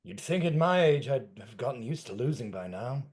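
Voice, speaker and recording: A man's voice: medium pitch, expressive, fairly quick, a little mellow, breathy edge. A man playing a wry, weary older man in a script table read.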